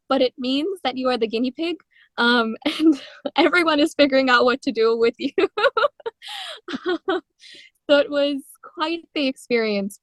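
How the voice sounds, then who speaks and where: Guest singer-songwriter, woman in her twenties from India, animated storyteller, mid pitch, dry close mic. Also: no room sound. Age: 20s. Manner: animated storytelling with frequent laughs and fillers. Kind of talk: conversational interview.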